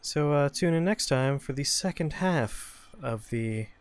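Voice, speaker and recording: A man's voice: on the high side, animated, a little slow, smooth. A man giving casual let's-play commentary as host, in short muttered asides and exclamations. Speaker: host of a gaming show.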